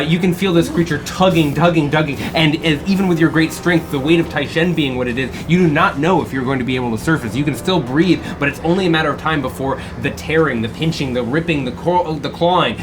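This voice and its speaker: Male game master narrating a campaign, hesitant delivery, noisy track. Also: a little high. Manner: halting, with many fillers. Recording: background noise.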